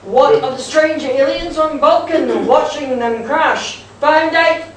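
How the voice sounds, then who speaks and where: A very echoey, distant live recording with faint noise features a woman narrator. Her fairly high voice delivers scripted radio-play narration theatrically and at a slow pace. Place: indoors, live convention performance.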